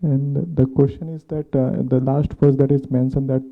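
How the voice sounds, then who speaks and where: A man asking a question as a class participant, speaking spontaneously in halting, non-native English phrasing. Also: medium pitch, little pitch movement, creaky. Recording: tinny, phone-like muffled sound.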